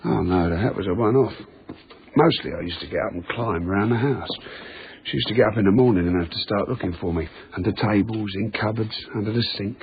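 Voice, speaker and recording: An odd, withdrawn night-shift guard in a naturalistic radio play. He speaks in a fairly low, matter-of-fact deadpan. The recording is thin and tinny with some noise. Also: fairly quick, creaky. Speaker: radio drama actor. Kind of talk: naturalistic radio drama dialogue. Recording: tinny band-limited radio broadcast, audible noise.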